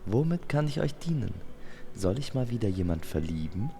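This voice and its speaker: A man's voice: fairly low pitch, sing-song, moderate pace, vocal fry, warm tone, soft background music, voice pushed up. A man voicing a youthful, confident winged love angel with a theatrical, formal way of speaking. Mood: confident, obliging.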